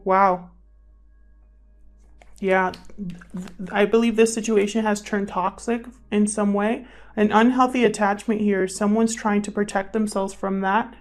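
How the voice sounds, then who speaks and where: A woman hosts an improvised tarot reading with music playing behind her. Her voice sits at a middling pitch for a woman. She talks conversationally, thinking aloud as she addresses the cards and her viewers. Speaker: tarot reader, channel host. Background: background music.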